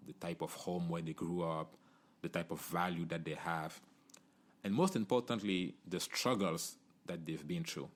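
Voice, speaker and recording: A man's voice: sits low, steady tone, moderate pace. A middle-aged man from Cameroon, hosting a solo podcast in an earnest, conversational way, often tagging "you know" and "right?". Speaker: podcast host.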